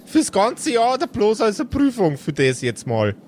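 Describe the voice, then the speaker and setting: A man's voice: high-pitched, huge pitch swings, normal speed, darkish tone, a little hiss or hum. A man voicing a naive, chatty schoolboy with a Bavarian accent who stammers and rambles, in an improvised tabletop audio play. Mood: cheeky.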